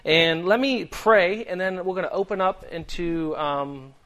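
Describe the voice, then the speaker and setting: A man's voice: high-pitched, a little slow, fairly bright tone. A man in his thirties from Delaware with a Boston accent, preaching a sermon in an earnest, conversational way with frequent ums. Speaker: guest preacher.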